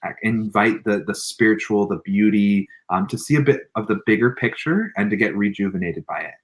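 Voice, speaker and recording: A man's voice: lowish voice, fairly quick. Man in his twenties reading tarot. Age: 20s. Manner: animated, laughs often, warm spiritual explanations. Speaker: guest tarot reader. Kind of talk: casual online radio chat, tarot reading.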